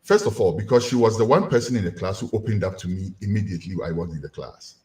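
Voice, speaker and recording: A man's voice: fairly low pitch, quick pace. A Ghanaian man giving a community lecture, asking rhetorical questions to his listeners. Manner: animated, rhetorical questions to the audience.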